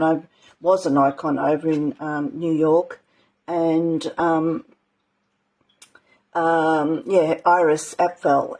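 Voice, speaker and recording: A woman teaching a live art journaling session in a casual, rambling way, with many ums and a slightly croaky voice. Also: low voice, darkish tone, rough recording. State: croaky, clearing her throat. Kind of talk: casual live craft demonstration.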